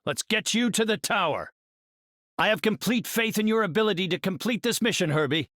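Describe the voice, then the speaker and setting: An actor playing an earnest middle-aged scientist superhero in a video game, speaking in an articulate, cerebral, heroic way. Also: male voice, high voice, lively intonation, brisk, fairly bright tone, no echo, studio quality. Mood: determined. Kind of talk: video game hero voice lines.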